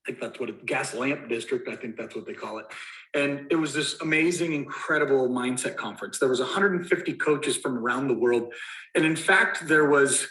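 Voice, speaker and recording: A man in his forties from Colorado tells a personal story in a recorded motivational talk. His voice sits at an ordinary pitch and carries a roomy echo. He sometimes acts out the scenes he describes. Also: brisk, bright, forceful tone. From Colorado, USA. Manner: storytelling, acts out the phone call. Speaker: guest speaker.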